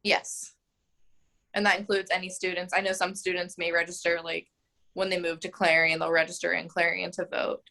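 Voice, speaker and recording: A young woman in her twenties with a lowish voice, talking casually in an interview. She fills her answers with "like" and "um" and laughs easily, sounding open and chatty. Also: flat delivery, moderate pace, vocal fry, bright, forceful tone. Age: 20s. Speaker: mayoral candidate.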